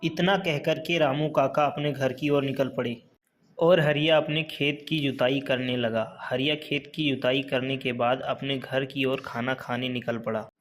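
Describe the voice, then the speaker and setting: A man reads a scripted moral tale as narrator. His voice is of medium pitch, and he tells it as a storyteller for children would. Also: fairly even intonation, unhurried, a little hiss or hum.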